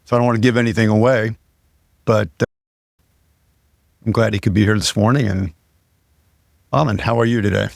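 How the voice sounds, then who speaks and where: A man hosting a podcast, chatting casually and asking his guest relaxed questions. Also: on the low side, fairly quick, vocal fry, pauses often, no echo, clean studio sound. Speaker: podcast host.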